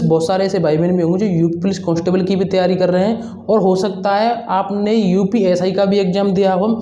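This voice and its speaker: Young man, mellow voice, instructional talk, repetitive advising manner, roomy echo. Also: high voice. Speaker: exam-prep advice presenter. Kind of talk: informal instructional talk to students. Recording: roomy echo, dull lo-fi sound.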